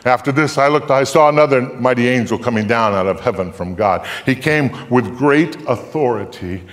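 An older man recites scripture from a stage as a piece of dramatic storytelling. His delivery is solemn and emphatic, with deliberate phrasing, in a mid-range voice. A long hall reverb trails his words. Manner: solemn, deliberate, emphatic, swelling from hushed to booming. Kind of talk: live dramatic reading, theatrical storytelling recitation. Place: theatre stage before a live audience.

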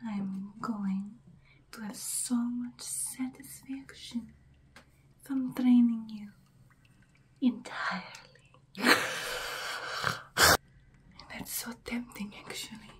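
Woman playing a slow whispering vampire bride. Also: medium pitch, close to an everyday voice. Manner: breathy whispering, drawn-out theatrical delivery. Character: gloating female vampire bride, centuries old, hungry and imperious. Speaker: ASMR roleplay performer. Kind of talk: whispered ASMR roleplay. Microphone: close microphone.

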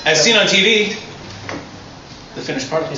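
Chef demonstrating on an echoey patio. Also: male voice, high voice, normal speed. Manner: relaxed, enthusiastic explaining with restarts. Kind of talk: cooking demonstration. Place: outdoor restaurant patio. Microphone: far camera. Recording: echoey, distant, dull, noisy outdoor audio.

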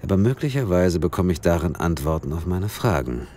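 A man playing a perceptive, playful investigator in a German radio drama, relaxed and wry in his understated delivery. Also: deep voice, a little fast, a little hiss or hum. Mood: thoughtful, curious. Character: playful, perceptive male crime-scene investigator on holiday.

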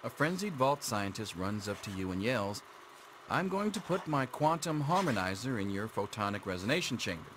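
A man voicing a dry, patient, middle-aged schoolteacher in a video game, measured and wry as he runs an exam. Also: lowish voice, big pitch swings, noisy background. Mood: dry, instructive. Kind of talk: video game dialogue acting.

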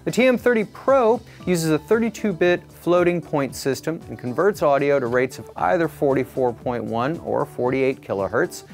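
A man's voice: fairly high pitch, expressive, moderate pace, hardly any pauses. A middle-aged man reading a scripted product review as the presenter. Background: background music.

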